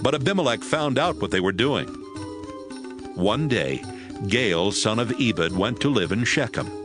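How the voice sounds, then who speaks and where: A man narrating a dramatized Bible reading in a steady, even storytelling manner. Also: fairly low pitch, unhurried, music in the background.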